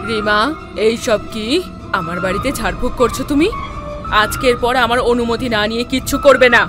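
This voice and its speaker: A woman voicing a stern, suspicious older mother-in-law who is quick to anger, scolding in an animated horror story. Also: fairly high pitch, lightly acted. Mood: angry. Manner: scolding, accusing. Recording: music behind the voice.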